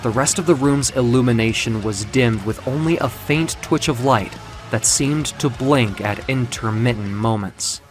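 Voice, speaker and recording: A man narrating a dark fiction story in a dramatic, theatrical and deliberate way, with music behind him. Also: mid-range voice. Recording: music under the voice.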